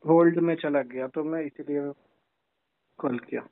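A man in a casual phone conversation as a customer, talking informally and haltingly and repeating himself. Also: on the high side, steady tone, dry recording. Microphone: phone call. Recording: tinny narrowband phone line.

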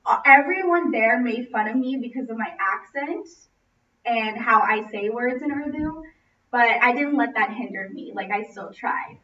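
A young American woman delivers a prepared personal speech in a fairly high voice. She projects expressively across a classroom, picked up from a distance by a camera microphone, so the sound is roomy and echoing. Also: normal speed, sharp tone. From Los Angeles, United States. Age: young adult. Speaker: student speaker. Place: classroom, indoors. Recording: roomy, distant, muffled, noisy camera audio.

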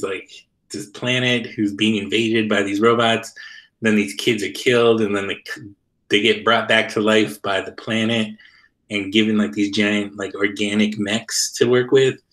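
A man's voice: fairly low pitch, fairly even intonation, unhurried, a bit bright, low-quality recording. A man chatting casually as an interview guest on a video call, in a loose, slangy way peppered with "like". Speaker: interview guest, comic creator. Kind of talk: casual chat.

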